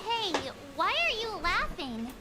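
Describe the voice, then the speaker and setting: A tiny, curious, childlike companion in a story game. The voice is extremely high and lively, with clear music behind it. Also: female voice, slow, exaggerated voice. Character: small, curious, cheerful childlike travelling companion. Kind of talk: video game story voice acting.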